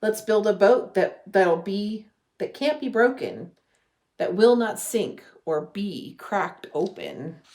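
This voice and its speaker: A woman in her thirties reading a children's storybook aloud with gentle, deliberate phrasing. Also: medium pitch, sing-song. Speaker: religious education director reading a children's story. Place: living room at home.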